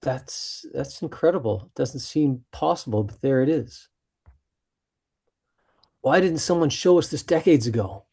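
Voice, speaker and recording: Someone acting a disbelieving audience member, soft mellow voice, middle pitch, questioning. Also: expressive, unhurried, creaky, long pauses, breathy edge, full character voice. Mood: amazed. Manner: questioning, amazed. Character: incredulous audience member, easily astonished. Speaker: actor in a screenplay table read.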